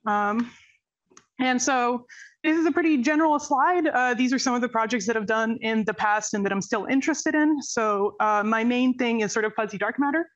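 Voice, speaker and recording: A young woman from Serbia presents her research in an academic talk, her voice sitting a little high. She speaks with nerdy, playful humor and plenty of ums and self-corrections. The audio is a bit dull and lo-fi. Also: normal speed. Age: young adult. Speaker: postdoc researcher giving a talk.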